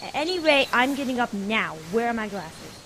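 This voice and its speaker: A woman's voice: fairly high pitch, sing-song, normal speed. A young female performer playing an insistent, bossy girl camper in an amateur audio drama. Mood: indignant, firm. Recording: clear background noise and ambience.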